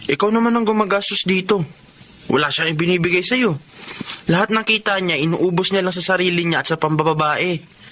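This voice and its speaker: An actor playing a caring younger brother, a student, in a radio drama, speaking earnestly with a Filipino accent. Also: male voice, sits high, a little fast, sharp tone. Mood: concerned, earnest. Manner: earnest, concerned. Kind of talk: Tagalog radio drama acting. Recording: faint background noise.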